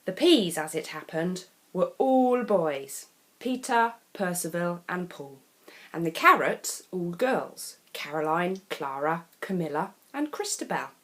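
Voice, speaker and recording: A woman reading a children's picture book aloud as a storyteller, expressive and deliberate, with dramatic pauses between phrases. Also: lowish voice.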